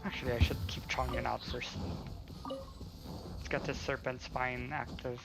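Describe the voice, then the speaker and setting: A man's voice: medium pitch, flat delivery, unhurried, gravelly, low-quality recording. A man chatting casually on a game livestream, rambling and thinking aloud as he plays. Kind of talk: casual livestream chat while gaming. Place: at home. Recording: game music playing behind. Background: video game music.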